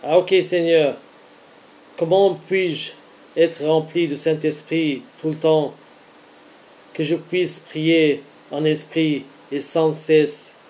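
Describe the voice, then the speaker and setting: A male preacher with a fairly high, mellow voice gives devotional teaching. He goes very slowly, phrase by phrase, in an earnest and deliberate manner. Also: steady tone. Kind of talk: devotional teaching with scripture reading.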